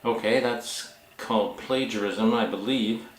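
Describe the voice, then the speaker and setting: A man talking casually as a vlog host and author, with a halting, wry, self-deprecating manner. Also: on the low side, fairly even intonation. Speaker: author and book vlogger hosting. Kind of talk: casual vlog talk, reading reviews aloud. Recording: echoey room.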